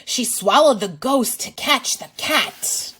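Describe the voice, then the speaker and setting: A woman's voice: a little high, lively intonation, slow, fairly bright tone, slight background noise. A woman reading a storybook aloud to young children as a teacher and host, with exaggerated expression and asides to the listener.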